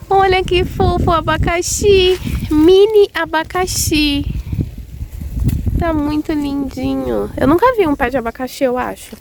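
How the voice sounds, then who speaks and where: A woman's voice: sits high, unhurried, gravelly. A Brazilian woman with a cold, chatting casually as she films a vlog outdoors on rural land, gushing over small things. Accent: Brazilian Portuguese. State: congested with a cold. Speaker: vlogger. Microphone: handheld phone. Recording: windy outdoor phone recording. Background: wind and outdoor noise.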